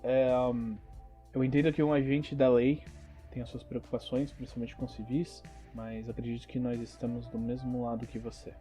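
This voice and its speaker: A man playing a calm, earnest secret-organization agent who speaks in a measured, formal, reassuring way, in an audio role-playing drama. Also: medium pitch, faint music behind, lightly acted.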